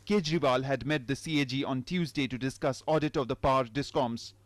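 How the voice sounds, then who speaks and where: A man's voice: mid-range voice, brisk, no room sound. A man with an Indian accent reading a scripted news report as a television reporter.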